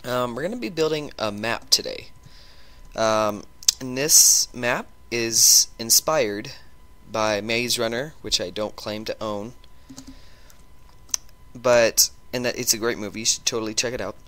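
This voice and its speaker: A man with a sore throat and a stuffy nose, chatting through a gameplay video and talking in a halting way with lots of ums and restarts. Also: mid-range voice, pushed and bright. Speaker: gaming YouTuber. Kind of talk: casual gameplay commentary.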